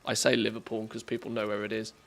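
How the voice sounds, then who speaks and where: A man's voice: on the low side, monotone, fast talker, creaky, heavy background noise. A man with an English accent chatting casually as a game livestreamer, in a jokey, self-deprecating way. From England, born in the northwest, lived mostly in the Midlands.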